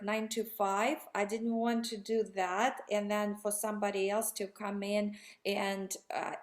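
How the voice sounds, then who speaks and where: Russian-born woman in her 30s, talks a lot, keeps restarting sentences, eager. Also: medium pitch. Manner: rambling, self-correcting, enthusiastic. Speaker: guest. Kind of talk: conversational interview.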